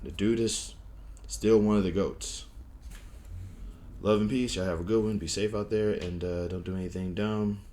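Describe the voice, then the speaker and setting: A young man talks through his opinions in a casual vlog, and his voice sits somewhat low. He speaks with a lisp and a stutter. He rambles, restarts his sentences and leans on "you know" and "like" as he goes. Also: fairly even intonation, moderate pace, long pauses, warm tone, slight background noise. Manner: rambling, many fillers and restarts. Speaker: wrestling vlogger.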